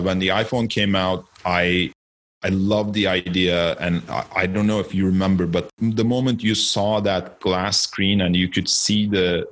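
A man from Turkey, around his forties, talks through his career as a podcast guest. His voice sits very low and deep. He thinks aloud and circles back on his own phrases. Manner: reflective, storytelling, with frequent self-corrections. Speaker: guest, startup founder. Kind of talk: conversational interview.

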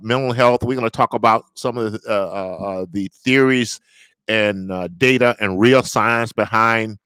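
A man hosts a relaxed holiday podcast and talks haltingly, filling gaps with "uh". His voice sounds dry and close, with little room around it. He stays at an ordinary middle pitch. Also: clean tone, dry recording. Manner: halting, many uh fillers. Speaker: podcast host. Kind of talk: casual podcast interview.

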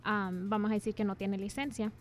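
Woman agent, pretty monotone, drops english terms here n there. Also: medium pitch, faint music behind. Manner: measured, mixes English terms into Spanish. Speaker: insurance agent. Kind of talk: explanatory talk.

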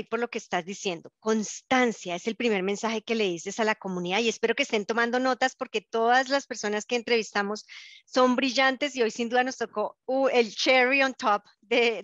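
A woman hosts a podcast interview with a voice pitched a little high. She is effusive and admiring and speaks slowly and deliberately. The sound is dry, close and slightly muffled. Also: fairly bright tone, no echo. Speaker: podcast host.

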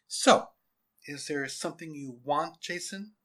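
Male narrator as female teacher, lifted pitch, kind, firm, measured, sincere storybook dialogue. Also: a bit bright. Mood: resigned. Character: composed female schoolteacher, kind yet firm.

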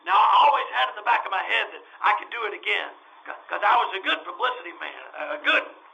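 A man playing a high-pitched, stammering desperate dreamer. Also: big pitch swings, gravelly, pushed and bright, slight background noise, full character voice. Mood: wistful, fervent. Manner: stammering, halting, emphatic. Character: desperate, dreamy male former circus publicity man. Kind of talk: old-time radio drama acting. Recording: dull lo-fi audio.

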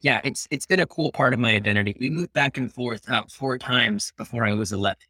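A man's voice: medium pitch, brisk, pushed and bright, no echo, clean studio sound. A man in his thirties, born in Peru, talking earnestly as a guest in a casual interview and sometimes slipping into Spanish. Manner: reflective and earnest, slips into Spanish.

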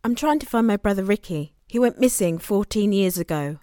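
A woman's voice: mid-range voice, expressive, fairly quick, dark tone, a little hiss or hum, natural voice. An actress playing the anxious, guilty sister of a missing man in an audio drama, colloquial and self-questioning. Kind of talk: audio drama, confessional monologue and dialogue.

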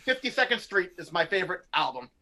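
An enthusiastic man on a podcast with a bright, forceful tone and a slightly high voice. He speaks eagerly, with real warmth about the songs he loves. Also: big pitch swings, fast. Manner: eager, enthusiastic music fan. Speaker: podcast co-host. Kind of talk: casual chat.

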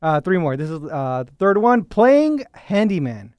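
Young man with a deep, lively voice. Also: measured pace, clear, resonant tone, no echo. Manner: joking, storytelling, teasing. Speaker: podcast co-host. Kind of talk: casual chat.

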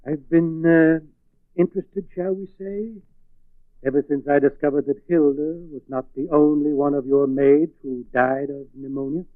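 An actor playing a sly, evasive, aging undertaker, unctuous and hesitant, in a 1940s radio drama. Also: male voice, a little high, steady tone, normal speed, no room sound, lightly acted. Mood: slyly insinuating. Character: sly, evasive, aging small-town undertaker turning blackmailer. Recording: muffled 1940s radio recording, phone-like band.

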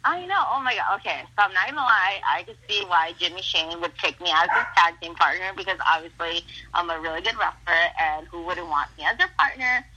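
A woman's voice: on the low side, fairly quick, dull audio, heavy background noise. A young woman from Mexico whose first language is Spanish, a pro wrestler chatting upbeat and gushing in a casual interview, full of "like" and "awesome". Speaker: professional wrestler.

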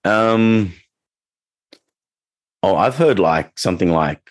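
Low-voiced man rambling about dog training. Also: expressive, slow, no echo. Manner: rambling, frequent restarts and fillers. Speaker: dog trainer and podcast co-host. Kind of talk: casual podcast chat.

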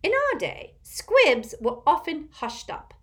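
A woman's voice: high-pitched, sing-song, slow pace, voice pushed up, exaggerated voice. A woman voicing a haughty, spiteful, tipsy old witch aunt in a storybook, cackling and screechy, with an emphatic gossipy manner. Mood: haughty, relishing.

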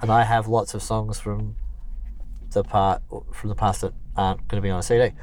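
A man's voice: lowish voice, level tone, moderate pace, darkish tone, slight background noise. A man, a singer-songwriter, talking casually and rambling with many fillers and restarts. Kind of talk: casual conversation.

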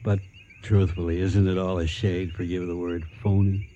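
An actor playing a skeptical, sardonic American tourist on safari in a radio drama, with an American accent and a wry, halting delivery. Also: male voice, sits low, flat delivery, warm tone, muffled recording, slight background noise, close to an everyday voice.

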